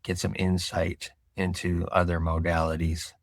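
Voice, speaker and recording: A man hosting a podcast interview, speaking in a relaxed, reflective way with filler pauses. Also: low voice, dry recording, clean studio sound. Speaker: podcast host. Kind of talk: casual interview.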